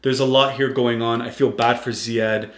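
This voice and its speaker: A man co-hosting a reaction show, talking in an animated, rambling way with frequent restarts. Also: mid-range voice, moderate pace, echoey room. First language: English. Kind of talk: casual reaction commentary.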